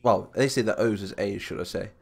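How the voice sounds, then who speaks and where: A man's voice: lowish voice, a little fast, gravelly, soft background music. A man with a Lincolnshire English accent, casually chatting and reading out viewer comments during a live stream while building a game map. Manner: chatty, rambling, reads chat comments aloud.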